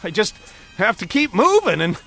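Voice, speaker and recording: An actor playing an excitable, chatty, mild-mannered man stranded alone, thinking aloud theatrically in an audio drama. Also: high-pitched, normal speed, creaky, faint music behind, full character voice. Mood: puzzled, uneasy. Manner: theatrical, animated, self-talking.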